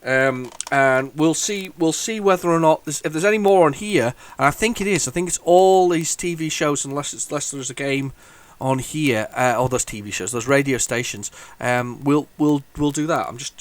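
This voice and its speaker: A man's voice: medium pitch, normal speed, few pauses, no room sound. Chatty man with a sniffly cold. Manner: rambling, chatty, lots of restarts and sudden excited outbursts. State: has a head cold, sniffly. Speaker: retro gaming video host. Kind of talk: casual commentary while loading old tapes.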